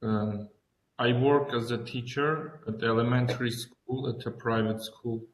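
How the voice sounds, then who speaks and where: A man with an Armenian accent presents a project over a video call. He talks slowly and haltingly, searching for words, in an average-pitched voice. The room sounds very echoey. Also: steady tone. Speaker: student presenting project, schoolteacher.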